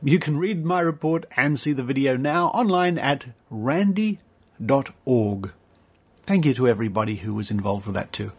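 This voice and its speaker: A man's voice: mid-range voice, animated, moderate pace, husky. A man with an Australian accent hosting a podcast, giving informal introductions and announcements in a chatty, playful manner with asides. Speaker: podcast host.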